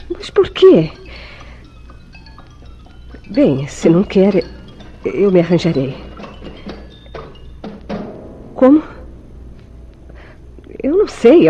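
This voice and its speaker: An actress playing a secretive young wife in an old-fashioned Brazilian radio soap, with emotive, formal diction and a Brazilian accent. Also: female voice, mid-range voice, huge pitch swings, slow pace, pauses often, dark tone, dull audio, faint music behind. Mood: anxious, pleading. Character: secretive young wife, tender, anxious, sweetly deceitful.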